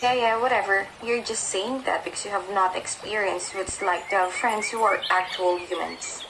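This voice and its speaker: A woman's voice: mid-range voice, fairly even intonation, normal speed, lightly acted. A woman acting a snooty young neighbour with a condescending, mocking manner, in a student radio drama. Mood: scornful. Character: snooty, dismissive party-going young female neighbour. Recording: noisy track with birdsong behind.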